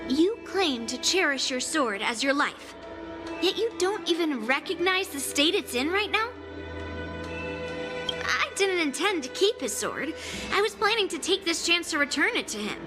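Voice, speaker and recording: Cocky high-voiced young swordswoman. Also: sing-song, moderate pace, music in the background. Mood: indignant, smug. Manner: confident, blunt. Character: cocky, blunt young girl sword prodigy. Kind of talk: video game voice acting.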